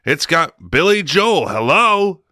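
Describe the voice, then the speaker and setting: A man's voice: on the high side, very animated, slow pace, bright, forceful tone, dry recording, exaggerated voice. A man playing a flustered studio boss in a comic radio drama, stammering and placating as he wheels and deals. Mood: stammering, pleading. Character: smooth-talking, flustered male studio boss wheeling and dealing.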